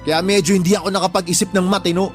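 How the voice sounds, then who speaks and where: Man playing drunk young bully, high voice, sneering, menacing, defiant, radio drama, background music. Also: steady tone, racing, close to an everyday voice. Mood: sneering, irritated. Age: young adult. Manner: sneering, insulting, blame-shifting. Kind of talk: Tagalog radio drama acting.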